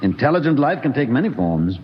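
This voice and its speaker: An actor playing a grave, fatalistic ship's doctor, with measured, philosophical speech in a 1950s radio drama. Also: male voice, mid-range voice, sing-song, slight background noise, close to an everyday voice. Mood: musing. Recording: dull old radio recording with hall reverb.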